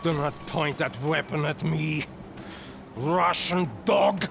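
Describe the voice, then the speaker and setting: An actor playing a haughty, defiant scientist, imperious and deliberate, in a war video game. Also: male voice, fairly high pitch, creaky, background noise, full character voice. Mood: contemptuous, demanding. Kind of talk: dramatic war game acting.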